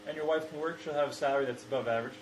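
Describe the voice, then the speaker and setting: Fast-talking man in his twenties. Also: a little high, fairly even intonation, roomy sound, slight background noise, rough recording. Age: 20s. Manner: quick, decisive, questioning. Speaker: co-host answering questions. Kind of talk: casual chat.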